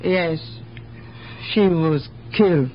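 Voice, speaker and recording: A woman's voice: lowish voice, slow. Wary immigrant neighbor woman, muffled recording. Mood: guarded. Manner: guarded, plain-spoken, broken grammar. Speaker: actor in a radio drama. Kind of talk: 1970s radio mystery acting. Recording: dull, noisy 1970s radio broadcast recording.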